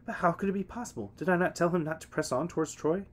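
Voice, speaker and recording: A man's voice: fairly high pitch, fast talker, a little hiss or hum, pitched above the performer's own voice, lightly acted. A man doing an anxious warrior hero. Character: anxious heroic male warrior.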